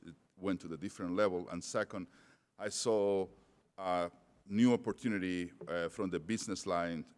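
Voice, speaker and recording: Middle-aged male speaker with a non-native accent: deep, halting conference talk, in a reverberant hall. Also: clear, resonant tone. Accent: non-native English. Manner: hesitant, many fillers and restarts. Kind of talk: conference presentation.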